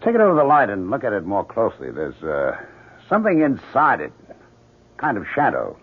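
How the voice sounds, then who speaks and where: An actor playing a moody, obsessive painter in a 1970s radio drama, terse and brooding. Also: male voice, lowish voice, wildly swooping pitch, brisk. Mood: intent, fascinated. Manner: terse, brooding, easily snappish. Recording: noisy, tinny 1970s radio broadcast.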